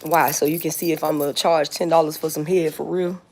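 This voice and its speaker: A woman in her forties talking live to her viewers from outside on a phone, with a low voice and faint noise around her. She is blunt and repetitive, swearing freely and laughing often. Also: level tone, fairly quick, a little mellow. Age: 40s. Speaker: livestreamer. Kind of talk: casual live-stream chat with viewers. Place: outdoors.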